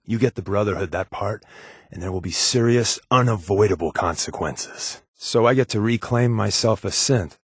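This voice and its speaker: A man's voice: on the low side, normal speed, husky, no room sound, clean studio sound. An actor playing a glib, wary but loyal secret-agent companion in a video game, sardonic and colloquial in his delivery. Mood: worried, serious. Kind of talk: scripted video game character dialogue.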